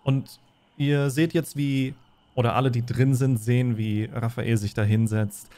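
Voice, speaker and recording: Man, game master, medium pitch, tabletop narration, rules explanations. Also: steady tone, measured pace, warm tone.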